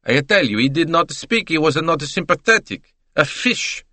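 A man putting on a garrulous salesman, his voice pitched well above the reader's own and bouncing with excitable chatter. He speaks, full of broken phrases and scorn, in a dry, close recording. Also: moderate pace, dry recording. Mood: chatty. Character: chatty Italian male salesman, talkative, scornful.

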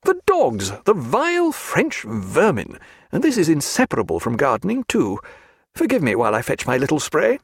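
A man's voice: fairly high pitch, wildly swooping pitch, normal speed, slightly rough, no echo, clean studio sound, voice pushed up, heavily acted. A man playing a blustering, fussy old captain.

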